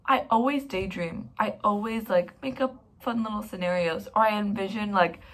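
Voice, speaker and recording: A woman's voice: medium pitch, normal speed. A young woman from Canada talks straight to her viewers in a loose, rambling vlog. She pokes fun at herself as she goes, in an easygoing, conversational voice. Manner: chatty and rambling, self-mocking. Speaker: vlogger. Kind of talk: casual vlog talk to camera.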